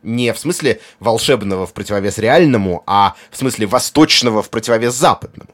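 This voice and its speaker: A man's voice: fairly low pitch, brisk, a bit bright. A man presenting an explanatory video essay in an erudite, articulate way, with conversational asides.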